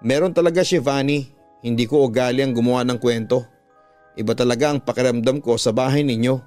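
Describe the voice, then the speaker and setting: A man's voice: mid-range voice, a little fast, darkish tone, faint music behind, lightly acted. A man with a Filipino accent voicing an uneasy schoolgirl who earnestly warns a friend, in a radio drama. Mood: insistent. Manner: earnest, cautioning. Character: uneasy schoolgirl warning her friend of ghosts.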